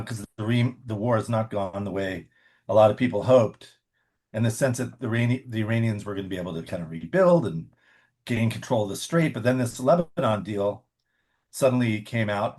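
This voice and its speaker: A man's voice: on the low side, a little mellow, husky. A man co-hosting a podcast, thinking aloud in a loose, hesitant way, often hedging and restarting his sentences. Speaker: podcast co-host. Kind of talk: casual conversational analysis.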